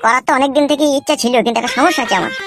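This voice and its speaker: A woman's voice: high-pitched, rapid-fire, music in the background, natural voice. A woman voicing a naive, chatty young village man in a comedy cartoon, speaking bluntly and colloquially. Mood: sheepish, frank.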